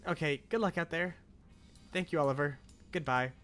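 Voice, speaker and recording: A man voicing a shy, sweet, apologetic young woman in a visual novel, gentle and hesitant. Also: fairly high pitch, moderate pace, faint music behind, voice pushed up, lightly acted.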